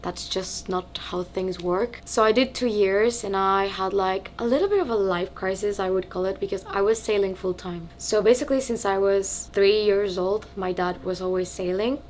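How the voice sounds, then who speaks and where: A woman's voice: medium pitch, moderate pace, few pauses, a little mellow, a little hiss or hum. Chatty young woman from Mexico. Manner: chatty, repeats words for emphasis. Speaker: beauty vlogger. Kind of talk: casual get-ready-with-me chat. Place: home, living in Spain.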